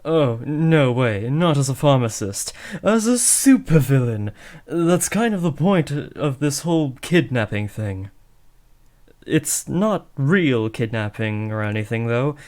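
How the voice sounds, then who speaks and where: A man's voice: mid-range voice, very animated, takes it slowly, darkish tone. A young man playing a villain's teenage son in a scripted roleplay, taunting theatrically and slipping into sheepish, casual talk. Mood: casual, boastful. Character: teenage villain's son playing at kidnapping, cocky but awkward. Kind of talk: scripted roleplay audio addressed to the listener.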